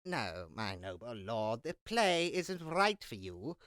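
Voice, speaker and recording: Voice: medium pitch, very animated, slow, a bit bright, no echo, studio quality. A performer playing a fussy, scornful master of revels to a duke in a Shakespeare audio drama, with a theatrical, disdainful manner. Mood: disdainful, dissuading.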